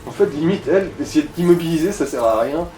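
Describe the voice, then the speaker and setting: A man's voice: on the high side, expressive, fast talker, dark tone. A man chatting casually in colloquial speech as he coaches another player through a game, giving tips as co-commentator. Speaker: let's play co-commentator guiding the player. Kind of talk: casual gaming chat. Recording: echoey room, faint background noise.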